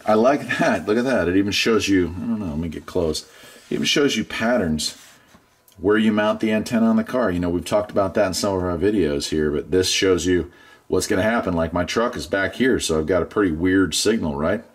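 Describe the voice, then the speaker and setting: Middle-aged man, low-pitched, rough-edged voice, laid-back reviewer, chatty unboxing style. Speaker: product reviewer, channel host. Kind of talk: casual unboxing chat.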